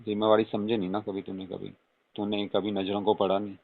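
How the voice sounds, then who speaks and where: A man's voice: fairly low pitch, little pitch movement, a little fast, gravelly. A man playing a flirtatious, coaxing father-in-law in a casual, intimate phone-call role-play, murmuring and adding questioning hums. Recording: tinny phone-line call recording.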